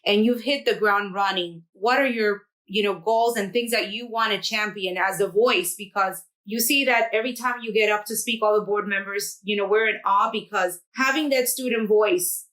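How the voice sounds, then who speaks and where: A woman's voice: mid-range voice, moderate pace, a bit bright, poor audio. A woman hosting a podcast interview, asking open questions in an encouraging way. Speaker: podcast host, school board member. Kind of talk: casual interview chat.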